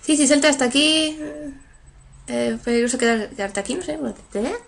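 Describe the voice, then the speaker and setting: A young woman from chats on a headset while she plays, reading and translating game lines on the fly with lots of fillers. Her voice sits a little high. A faint hiss of noise runs under it. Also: normal speed, gravelly. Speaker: gameplay streamer. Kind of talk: playing and reading game dialogue aloud.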